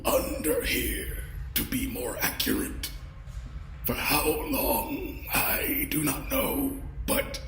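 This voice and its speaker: A man's voice: very high voice, pushed and bright, reverberant, exaggerated voice. A man voicing an ancient, decayed undead lord in an audio drama, grandiose, bitter and theatrical, with a pompous manner. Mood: aggrieved, haughty.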